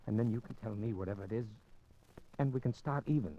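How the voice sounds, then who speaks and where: An American actor playing an ardent, guilt-ridden lover in a 1950s radio drama, speaking in an urgent, halting and earnest way. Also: male voice, medium pitch, natural voice. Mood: anguished confession. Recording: dull, noisy 1950s radio recording.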